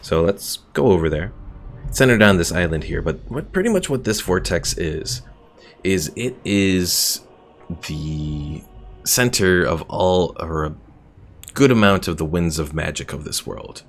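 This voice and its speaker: A man's voice: deep voice, lively intonation, unhurried, faint music behind. A man streaming a strategy game, chatting casually, rambling about the lore and reading viewers' messages aloud. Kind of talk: casual gameplay commentary.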